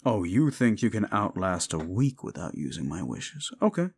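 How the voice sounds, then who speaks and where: A condescending demon, acted by a man, stuck on a date he never wanted. He drawls low and quiet in an ASMR roleplay, weary and looking down on his listener. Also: sing-song, moderate pace, husky, exaggerated voice. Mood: smug, taunting. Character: condescending, reluctant male demon on a forced date.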